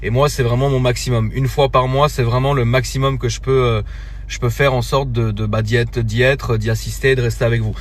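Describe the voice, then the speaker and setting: A man chatting casually into his phone from a car, relaxed and slangy, with lots of fillers and restarts. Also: mid-range voice, brisk. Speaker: social media creator. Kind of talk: casual phone story announcement.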